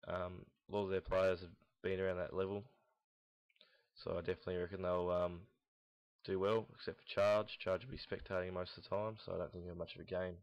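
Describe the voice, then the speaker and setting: A young man giving casual, unscripted esports commentary in a rambling, halting, blunt way, recorded in lo-fi sound. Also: sits low, flat delivery. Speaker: host of a gaming team audio log. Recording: dull lo-fi, roomy echo, mouse clicks.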